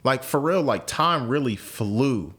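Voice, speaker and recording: A man's voice: mid-range voice, lively intonation, a little slow, a bit bright, husky. A man in his late twenties, hosting a podcast in casual conversation, thinking aloud with frequent repeats and restarts. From United States. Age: 20s. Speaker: podcast host.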